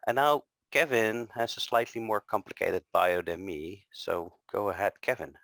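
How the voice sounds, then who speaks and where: A man co-presenting a technical session, friendly, often pausing with ums and uhs. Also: fairly low pitch, creaky, a bit bright, no room sound. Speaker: conference co-presenter. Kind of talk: scripted tech presentation.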